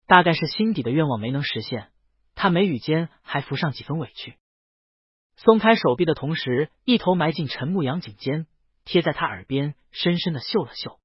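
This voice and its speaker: Animated higher-pitched male story narrator, husky. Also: no room sound, highs cut off. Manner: reads character dialogue within the narration. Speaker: audiobook narrator. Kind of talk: scripted romance novel narration.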